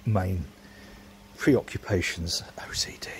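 A man's voice: sits low, slow pace, whispered. A man, rambling casually and self-mockingly while filming his home brewery workshop on a handheld phone.

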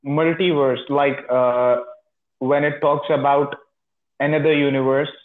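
A man's voice: a little high, flat delivery, measured pace. Young Pakistani man on a tinny line. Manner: hesitant, short answers with many uh's. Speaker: English teacher practicing conversation. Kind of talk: casual video-call conversation. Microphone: phone held close.

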